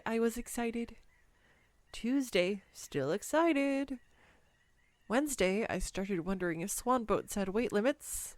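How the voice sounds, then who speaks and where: A woman playing a clumsy, nervous, giddy young woman on a first date in a romantic audio roleplay, rambling awkwardly and self-deprecatingly with halting stumbles. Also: fairly low pitch. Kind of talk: scripted romantic audio roleplay addressed to the listener.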